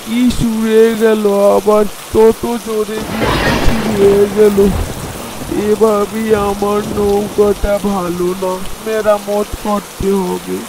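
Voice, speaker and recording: Man voicing a gentle fisherman dad, high voice, slow deliberate delivery, cartoon moral story, faint background noise. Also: level tone. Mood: panicked, pleading. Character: village fisherman, gentle husband and father.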